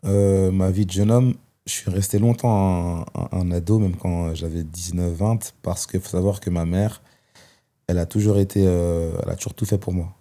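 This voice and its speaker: Deep-voiced man, reflective. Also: steady tone, moderate pace, dark tone. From Belgium. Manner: reflective, hesitant with many fillers. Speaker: guest. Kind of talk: personal testimony in conversation.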